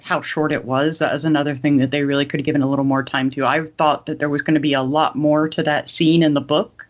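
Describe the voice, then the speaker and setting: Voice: medium pitch, level tone, quick pace. A podcast co-host, talking casually in a fan discussion. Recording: dull, lo-fi microphone sound.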